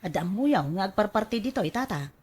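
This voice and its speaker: A woman with an Ilocano accent acting as a sly, fast-talking livestock seller in a radio drama, animated and exclamatory. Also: medium pitch, husky. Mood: coaxing.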